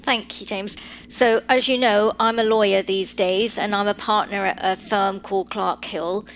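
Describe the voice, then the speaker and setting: Measured London-accented woman. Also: mid-range voice, steady tone. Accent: London English. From Britain. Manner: measured, advisory, with fillers. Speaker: guest lawyer. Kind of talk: podcast interview.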